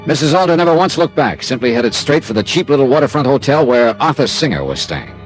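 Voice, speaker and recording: An actor playing a tough, wry insurance investigator in a classic radio drama, clipped and sardonic. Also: male voice, medium pitch, sing-song, fast talker, lightly acted, low-quality recording. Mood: dry narrating. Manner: clipped, sardonic, hard-boiled. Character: tough, wry freelance insurance investigator, narrating his case. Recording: vintage radio drama with music.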